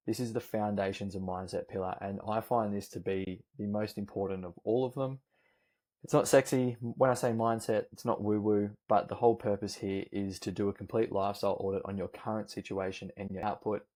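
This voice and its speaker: A man from Australia with an Australian accent, a nutrition coach making an upbeat, persuasive pitch that lists points one after another. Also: darkish tone. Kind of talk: sales pitch video.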